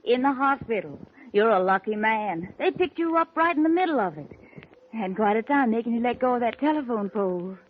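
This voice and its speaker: A woman as a lilting, high-voiced hospital nurse. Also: animated, fairly quick, natural voice. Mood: soothing. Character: brisk, soothing hospital nurse. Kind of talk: 1940s radio drama acting. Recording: tinny, hissy 1940s radio recording.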